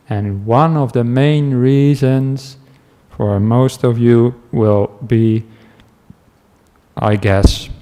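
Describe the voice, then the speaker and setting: A man from the Netherlands with a Dutch accent, a meditation teacher giving a relaxed talk to a class, telling stories with asides and small laughs. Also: medium pitch. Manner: gentle, chatty storytelling with asides and small laughs.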